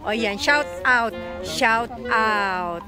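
A woman's voice: on the high side, extremely slow. Chatty Filipino woman vlogging a trip. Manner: chatty, mixes English and Tagalog, laughs often. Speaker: travel vlogger. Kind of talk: casual travel vlog narration. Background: background music.

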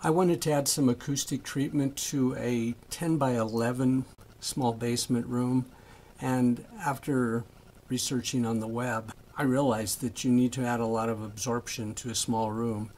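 A man calmly walking through his small basement room, explaining things in a plain, unhurried way with a few fillers. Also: medium pitch. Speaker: hobbyist showing his home music room. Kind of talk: casual walkthrough narration.

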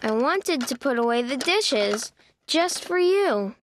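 A woman's voice: sits high, very animated, slow pace, background noise, exaggerated voice. A woman voicing a sweet, eager little animal child who tells a storybook tale in a simple, earnest, childlike manner. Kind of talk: read-aloud children's storybook narration.

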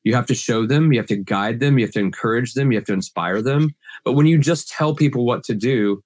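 A man's voice: medium pitch, fairly quick, a little mellow, no echo. A middle-aged man with a slightly scratchy voice, answering earnestly in a video-call podcast interview with many restarts. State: scratchy voice from pollen. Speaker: guest sales trainer.

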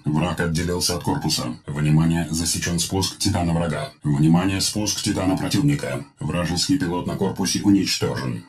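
A man voicing a deep, clipped war robot. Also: natural voice. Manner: clipped, even military announcements. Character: male combat robot AI, calm and clipped. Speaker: titan onboard AI. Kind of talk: game voice lines.

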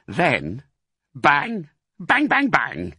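A man's voice: lowish voice, big pitch swings, bright, forceful tone, dry recording, clean studio sound, full character voice. A man voicing a thin, crafty farmer who drawls his schemes smugly in a storybook. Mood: smug, scheming. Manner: smug, drawn-out.

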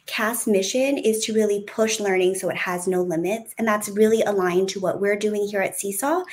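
A woman's voice: medium pitch, fairly even intonation, normal speed. Upbeat woman presenting on a video call. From United States. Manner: upbeat, welcoming, speaks in clear steps. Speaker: workshop presenter. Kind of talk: online workshop presentation. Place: home room on a video call.

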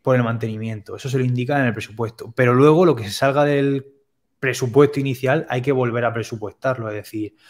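Man, young, step-by-step explainer, headset mic, video call, home room. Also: mid-range voice. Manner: plain, step-by-step explanations with quick asides. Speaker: web development teacher, guest expert.

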